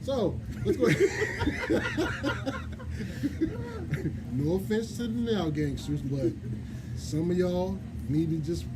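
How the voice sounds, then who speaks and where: A man hosting a radio show, talking in an energetic, slangy, promotional way in a studio. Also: sits high, slow, pauses often, background noise. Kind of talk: casual hype radio chat.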